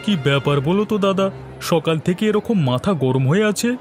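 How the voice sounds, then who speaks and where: A village fish seller from a cartoon tale, acted by a man, high-voiced and quick. He sounds brisk and businesslike, with faint music behind him. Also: lively intonation. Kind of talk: Bengali cartoon story voice acting.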